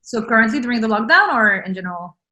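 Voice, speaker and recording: A woman's voice: fairly high pitch, animated, quick pace, pushed and bright, muffled recording. A woman from France, a guest on a video call, chatting casually with lots of "like" and "uh" and easy laughter. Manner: chatty, many fillers and laughs. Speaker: interview guest. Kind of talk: casual chat.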